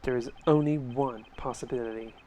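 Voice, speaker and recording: A woman's voice: very deep voice, fairly even intonation, normal speed, warm tone, a little hiss or hum, voice pushed down, poor audio. A woman voicing an earnest, accusing male defense lawyer in a courtroom game.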